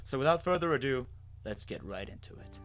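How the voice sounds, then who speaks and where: A man's voice: mid-range voice, lively intonation, brisk, a bit bright, faint music behind. A man reading a scripted story aloud as a dramatic narrator. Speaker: narrator and author of a fan fiction reading.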